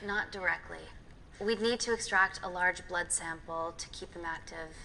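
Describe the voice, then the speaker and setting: Low-voiced, precise woman scientist. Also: normal speed, sharp tone, slight background noise, low-quality recording. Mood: tense, grave. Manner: precise, clinical. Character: calm, authoritative woman scientist, protective of her patient. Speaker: doctor leading a laboratory. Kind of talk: naturalistic screen drama acting.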